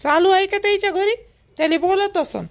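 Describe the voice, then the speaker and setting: A woman's voice: very high-pitched, a little fast. A woman voicing a gossipy, fretting village mother in a cartoon, with a Vidarbha Marathi accent and chatty, emphatic, repetitive talk. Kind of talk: homemade cartoon family dialogue.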